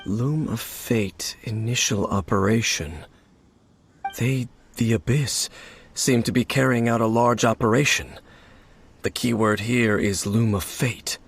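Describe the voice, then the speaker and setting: An actor playing a grave, stoic and knowing warrior guide in a fantasy video game, speaking formally with solemn, measured delivery. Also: male voice, medium pitch, gravelly, breathy edge. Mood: serious, pondering. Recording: faint background noise.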